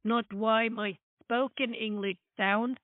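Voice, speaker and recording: A woman's voice: fairly high pitch, fairly even intonation, takes it slowly, dry recording. A woman from Scandinavia talking in English in a casual, conversational style. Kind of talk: casual chat. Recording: thin phone-like call audio.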